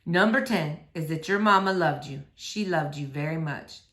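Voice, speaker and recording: A woman voicing a quiet, melancholy middle-aged preacher and father in a storybook read aloud, speaking slowly and gently subdued. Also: deep voice, echoey room, pitched below the performer's own voice. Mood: sad, subdued. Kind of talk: children's novel read aloud.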